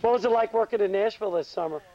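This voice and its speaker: A man's voice: high-pitched, rapid-fire. A man casually asking questions from behind a home video camera. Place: outdoors at a woodland shelter. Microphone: behind the camcorder. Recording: dull, slightly noisy camcorder audio.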